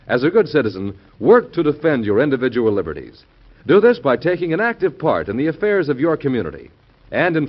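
A man announcing on radio, reading a scripted 1940s public service message in an earnest, oratorical way. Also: medium pitch. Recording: dull vintage radio recording.

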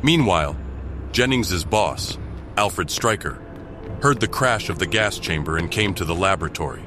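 A man's voice: low-pitched, animated, normal speed. A man delivering scripted, dramatic story narration for an audio drama. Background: music.

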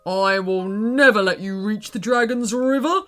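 Woman voicing a loud, screechy storybook eagle. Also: medium pitch, unhurried, soft background music, lightly acted. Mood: aggressive. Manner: loud, screeching threats and exclamations. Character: aggressive, rude male eagle who later softens.

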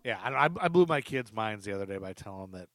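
A man's voice: fairly low pitch, expressive, fast talker, no echo. A middle-aged man hosting a podcast in casual chat, with a rambling, self-deprecating way of talking. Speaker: podcast host.

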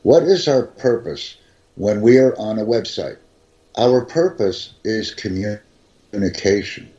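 A man with a lowish, dark voice explains how to learn English, speaking slowly and enunciating each phrase with care. He repeats his main points like a patient teacher. The recording sounds dull and lo-fi. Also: animated. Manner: slow, carefully enunciated, repeats key phrases. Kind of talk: slow, deliberate teaching talk.

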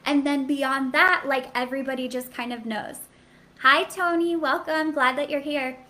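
A woman's voice: high voice, steady tone, moderate pace, pushed and bright. A woman with a sweet voice giving a live coaching talk to hairstylists, candid and chatty with lots of "like" fillers.

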